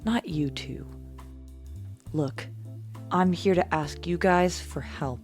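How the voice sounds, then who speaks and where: A low female voice from an actress as a weary vigilante superhero. She speaks slowly and guardedly, with reserve, as in an audio drama. Music plays underneath. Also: darkish tone. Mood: serious, weary.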